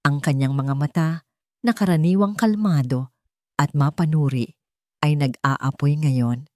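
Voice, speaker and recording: A woman's voice: low voice, unhurried, darkish tone, no room sound, clean studio sound. A woman giving a dramatic scripted narration of a romance audio story.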